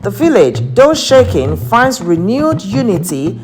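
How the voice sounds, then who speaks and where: A woman's voice: mid-range voice, big pitch swings, a little slow, a little mellow, soft background music. A woman narrating a scripted folktale as a storyteller.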